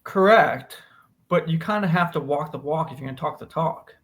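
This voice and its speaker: An American man with a slightly high voice chats as a podcast co-host about a book. He is conversational, opinionated and emphatic when making his points. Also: moderate pace, a bit bright. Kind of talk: casual book discussion.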